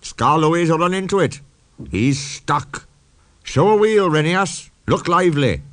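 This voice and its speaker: A brisk, urgent railwayman from a storybook, acted out by a man. His voice is raised in pitch and animated, giving commanding instructions. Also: no echo. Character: brisk, urgent male engine driver and railway guard.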